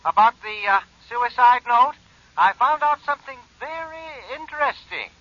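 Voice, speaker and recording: An actor playing a pedantic handwriting expert on the telephone, in a 1940s radio drama. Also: male voice, extremely high pitch, lively intonation, normal speed, pushed and bright, no room sound, full character voice. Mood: eager. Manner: precise, pedantic, heard over a telephone. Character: fussy male handwriting expert on the phone. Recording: dull old radio recording, dry phone line.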